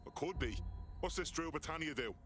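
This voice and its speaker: A man's voice: fairly high pitch, animated, a little fast, fairly bright tone, a little hiss or hum. A man playing a defiant, scornful resistance hacker in a video game, speaking in a terse, sardonic way. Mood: wary. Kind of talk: video game cutscene acting.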